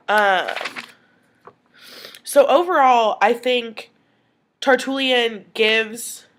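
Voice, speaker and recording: A young woman with a sniffly cold records her class response, talking it through in a chatty way with lots of "like" and "um". Her voice is mid-pitched with a noticeable creak and fry. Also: fairly bright tone. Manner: chatty, filler-heavy, thinking aloud. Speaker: student.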